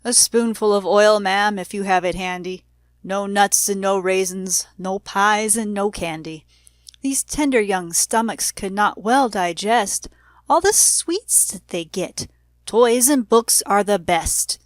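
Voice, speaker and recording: A woman voicing a solemn old male doctor in storybook verse, measured and admonishing about sweets. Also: mid-range voice, no echo, lightly acted. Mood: stern, advising. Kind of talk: storybook verse read aloud.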